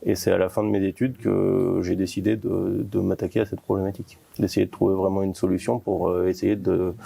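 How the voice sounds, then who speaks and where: Male company founder interviewed, mellow and fairly monotone, explanatory and halting. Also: deep voice, gravelly, slightly rough, dry recording.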